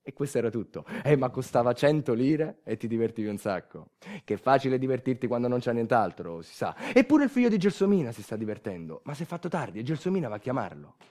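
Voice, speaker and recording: A man performing a theatrical narrative monologue as a storyteller, moving dramatically between quiet asides and urgent delivery. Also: medium pitch, sing-song, fast talker. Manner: dramatic, swinging from hushed asides to loud urgency. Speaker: solo stage storyteller, actor.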